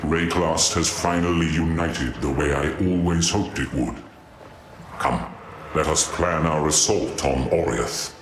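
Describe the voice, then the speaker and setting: A man playing a grave leader in a fantasy game, speaking in solemn, measured, dramatic lines. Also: extremely low pitch. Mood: solemn resolve. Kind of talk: dramatic scripted game narration and dialogue. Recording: roomy echo, music underneath.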